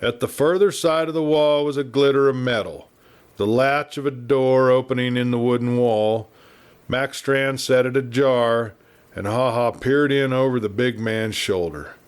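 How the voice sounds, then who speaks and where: A man with an American accent reading a Western story as an audiobook narrator, in a measured, dramatic storytelling style. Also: medium pitch, smooth.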